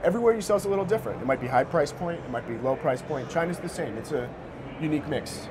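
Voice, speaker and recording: A man's voice: on the high side, lively intonation, fast. An American man in his thirties answering interview questions casually, in informal, slangy speech. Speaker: company co-founder being interviewed. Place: sneaker shop showroom, indoors. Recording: clear background noise.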